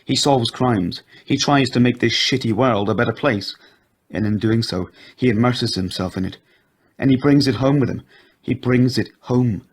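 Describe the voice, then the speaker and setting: A man's voice: mid-range voice, moderate pace, breathy edge, pitched above the performer's own voice, natural voice. A man playing a young male detective who speaks firmly and sounds exasperated. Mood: weary. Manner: firm, exasperated.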